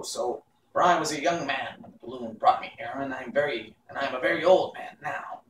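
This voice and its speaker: A man's voice: medium pitch, echoey room, recorded from a distance, a little hiss or hum, lightly acted, poor audio. A man voicing a little old humbug wizard, chatty and apologetic, in a storybook reading.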